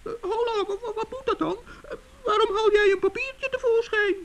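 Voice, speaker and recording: Male forest gnome, very high pitch, gravelly, stammering, fretful, vintage radio play. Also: fairly even intonation, normal speed, a bit bright. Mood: alarmed. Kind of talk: 1960s children's radio play.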